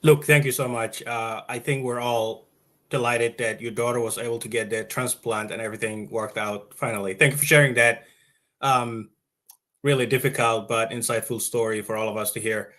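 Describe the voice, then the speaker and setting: A man's voice: mid-range voice, steady tone, moderate pace, sharp tone. A man moderating a panel on a video call, thanking speakers and asking questions politely, with hesitant fillers.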